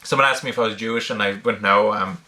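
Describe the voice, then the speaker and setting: A man's voice: lowish voice, steady tone, fast, sharp tone. A man from Ireland with a blended Irish, British and American accent, casually answering questions in an unscripted, hesitant way, thinking aloud with many ums. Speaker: online video creator answering viewer questions.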